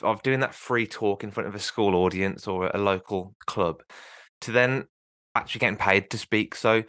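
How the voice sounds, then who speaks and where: A man's voice: fairly low pitch, fairly bright tone, dry recording. Encouraging Birmingham-accented male host. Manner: encouraging, with frequent affirmations. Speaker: interview host. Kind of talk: conversational interview.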